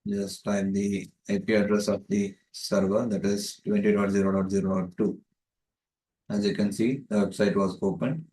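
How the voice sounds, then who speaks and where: A man's voice: on the low side, flat delivery, normal speed, warm tone. A man giving a methodical, step-by-step tutorial narration as a networking tutor, recorded with roomy echo on a distant microphone. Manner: methodical, explanatory.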